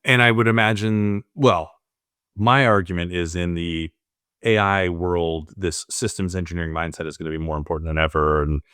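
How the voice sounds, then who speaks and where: A man's voice: sits low, a bit bright, smooth, no echo, clean studio sound. A man hosting a business podcast, introducing and questioning his guest in an upbeat, conversational way. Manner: upbeat, conversational with frequent fillers. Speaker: podcast host. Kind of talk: casual interview chat.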